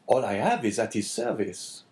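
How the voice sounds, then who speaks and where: A man's voice: lowish voice, very animated, normal speed, a little mellow. A man voicing an obsequious, talkative French innkeeper who is eager to please, in a storybook reading. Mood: flustered, servile. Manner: fawning, effusive, talkative.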